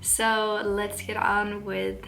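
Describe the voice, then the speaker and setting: A woman's voice: mid-range voice, monotone, drawn-out words, creaky, over loud music. A Swedish woman in her twenties talking to camera at home in English, nervous and giggly, with many fillers and restarts. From Sweden. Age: 20s. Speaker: vlogger. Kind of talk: casual talk to camera. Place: home, indoors.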